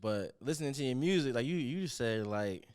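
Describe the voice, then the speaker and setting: A man's voice: mid-range voice, expressive, a little fast, darkish tone. A man hosting a casual interview, asking loose, rambling questions in slang on a studio mic. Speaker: podcast host.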